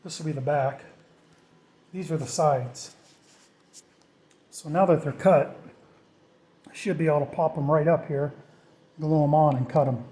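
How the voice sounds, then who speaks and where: Male DIY vlogger, middle-aged, slightly high pitch, very mellow tone, casual and self-deprecating tutorial talk. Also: steady tone, unhurried, long pauses. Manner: informal, self-deprecating, thinking aloud. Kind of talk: casual hands-on tutorial narration.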